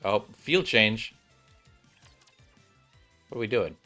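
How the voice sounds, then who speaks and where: A man's voice: on the low side, expressive, slow, sharp tone, faint music behind. A man streaming a game, reading its story aloud and adding casual commentary. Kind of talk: narration and casual playthrough commentary.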